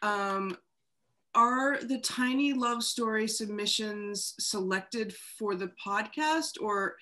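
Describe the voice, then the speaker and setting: A woman's voice: mid-range voice, takes it slowly, sharp tone. Casual woman moderator with mid-range voice. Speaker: panel moderator.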